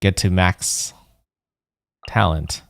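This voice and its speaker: A man's voice: deep voice, fairly even intonation, extremely slow, creaky, no room sound, studio quality. A man livestreaming a video game, chatting casually and thinking aloud in a rambling way. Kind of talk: casual chat while playing a game.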